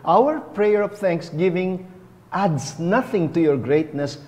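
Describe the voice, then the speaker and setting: A middle-aged man, a bishop, giving a homily at a lectern in a chapel, speaking deliberately on a lapel mic. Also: sits high, animated, darkish tone, big-room sound. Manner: slow, deliberate, teaching tone with rising emphasis. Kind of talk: sermon.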